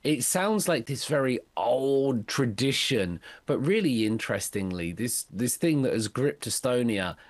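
A man's voice: mid-range voice, expressive, unhurried, hardly any pauses, no echo. A man talking casually as a radio guest, chatty and self-deprecating. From Britain. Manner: chatty, self-deprecating, stumbles over foreign words.

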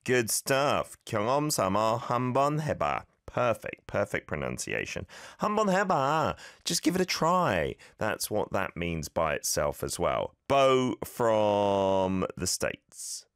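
Low-voiced man with a lively, bouncing delivery. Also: smooth, no echo, clean studio sound. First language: not Korean. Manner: relaxed, self-deprecating, repeats phrases slowly for learners. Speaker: radio show host teaching Korean. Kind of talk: casual radio lesson chat.

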